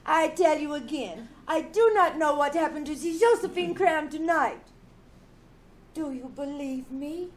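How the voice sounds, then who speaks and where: Actress as proud French showgirl in radio drama, very high pitch, indignant, clipped and defensive. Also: a little slow, a little hiss or hum. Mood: proud, defiant. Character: indignant, proud French female cabaret dancer, evasive and haughty. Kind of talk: old-time radio drama acting. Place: small-town theater stage.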